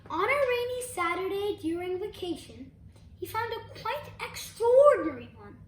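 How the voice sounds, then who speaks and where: Very high-pitched child reading a storybook. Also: very animated, moderate pace. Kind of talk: storybook read-aloud. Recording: echoey room, faint background noise.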